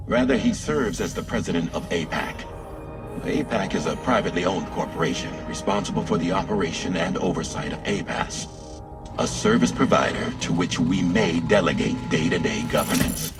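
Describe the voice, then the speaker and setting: An actor playing a suave, knowing intermediary in a game cutscene, speaking in a formal, measured, explanatory way. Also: male voice, low voice, natural voice. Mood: knowing, composed. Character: suave, knowing male intermediary briefing a courier. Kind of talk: scripted game cutscene dialogue. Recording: distant, with music behind.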